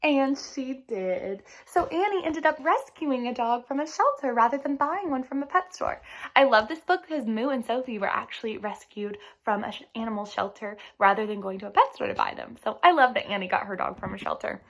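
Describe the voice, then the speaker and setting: A woman's voice: fairly high pitch, expressive, brisk, fairly bright tone. A woman reading a picture book aloud expressively as a teacher, speaking directly to young listeners.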